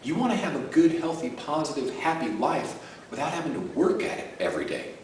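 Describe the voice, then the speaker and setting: A man with a deep voice pitching his sleep audio program in a chatty way and often adding "okay?" to his points. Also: very animated, brisk, darkish tone, a little hiss or hum. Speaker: self-help audio creator. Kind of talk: casual direct-to-camera pitch. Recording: roomy echo from a distant mic.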